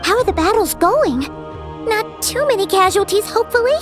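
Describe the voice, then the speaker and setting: A woman's voice: animated, moderate pace, over music. An actress voicing a tiny, chatty, fairy-like female companion in a video game who talks about herself in the third person. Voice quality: very high and bouncy.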